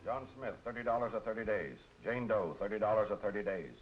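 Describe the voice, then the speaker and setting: A man playing a brisk, bored night-court judge who reels off sentences flatly, in old film noir style. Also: lowish voice, soft background music, lightly acted. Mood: bored, officious. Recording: dull vintage film audio with hiss.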